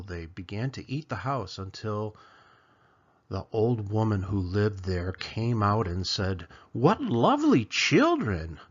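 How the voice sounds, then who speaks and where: A man reading a children's storybook aloud as narrator, in an expressive storytelling style. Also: lowish voice, muffled recording. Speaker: storybook reader.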